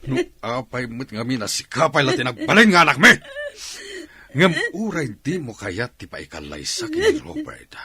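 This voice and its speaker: A man's voice: medium pitch, huge pitch swings, normal speed, pushed and bright, breathy edge, heavily acted. A man playing a stern, domineering middle-aged father with an overbearing, emphatic way of talking, in a radio melodrama. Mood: angry scolding. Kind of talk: melodramatic radio drama acting.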